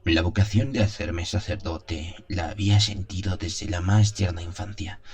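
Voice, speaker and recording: A man playing a haunted, wistful seventy-year-old country priest, confessing his past in a deliberate, pause-filled radio drama monologue. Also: sits low, steady tone, darkish tone, close to an everyday voice. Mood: impassioned, wistful. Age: 70s as played. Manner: chuckles, sighs, deliberate storytelling with pauses.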